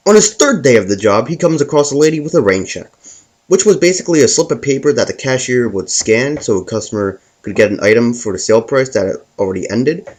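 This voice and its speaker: Male storyteller, medium pitch, very lively intonation, scripted comic narration, stumbling over words. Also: fast talker. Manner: stumbles and repeats words while reading. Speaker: host and storyteller.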